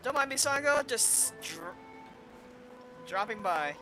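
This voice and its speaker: A woman chats through a game playthrough in a bright, animated voice. She is casual and offhand, reading lines aloud over faint game music. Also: a little high, takes it slowly, poor audio. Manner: chatty, offhand. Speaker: gameplay commentator.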